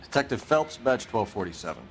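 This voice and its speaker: An actor playing a stern, probing police detective in a video game, formal and clipped. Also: male voice, mid-range voice, big pitch swings, fairly quick, a little hiss or hum. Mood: businesslike. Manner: formal, clipped, pressing questions. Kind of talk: video game dramatic acting, interrogation.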